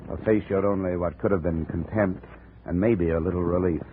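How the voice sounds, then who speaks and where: A very low-pitched, cynical private investigator played by a man from a golden-age radio drama. He talks dryly and in a clipped, needling way, on a narrow, hissy old recording. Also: fairly even intonation, brisk, lightly acted. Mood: dry, deadpan. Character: hard-boiled, cynical male insurance investigator. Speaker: lead detective and narrator. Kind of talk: 1950 radio detective drama, first-person narration.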